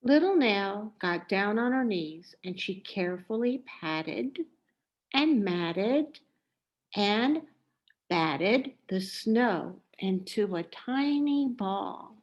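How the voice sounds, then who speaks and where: A woman reading a children's story aloud in a deliberate, expressive way. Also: mid-range voice, muffled recording. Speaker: storybook reader.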